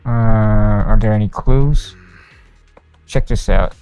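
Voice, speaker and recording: A man's voice: fairly low pitch, takes it slowly, mellow, clean tone. A man live-streaming a game, reading its dialogue aloud in an informal way. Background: game music, faint.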